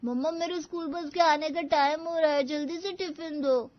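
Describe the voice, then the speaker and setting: Woman voicing a high, slow little schoolboy. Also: steady tone, no room sound, close to an everyday voice. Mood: innocent, earnest. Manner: slow, childlike delivery. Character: innocent little schoolboy, obedient and chatty. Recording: dull lo-fi audio.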